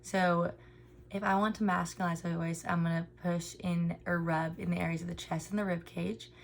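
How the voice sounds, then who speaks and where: Gravelly low-voiced young woman explaining. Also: flat delivery, normal speed, slight background noise. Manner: frequent ums, earnest explanatory delivery. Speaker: speech therapy student presenter. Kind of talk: informal instructional presentation.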